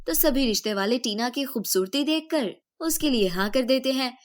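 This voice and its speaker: A woman narrating a moral story in an even, storytelling delivery. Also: on the high side.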